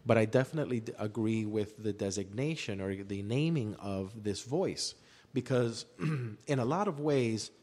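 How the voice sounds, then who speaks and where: A man with a fairly low voice, recorded in a hall-like space with long reverb. He explains his views at length as a commentator in a discussion. He repeats phrases for emphasis as he goes. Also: moderate pace, a little mellow.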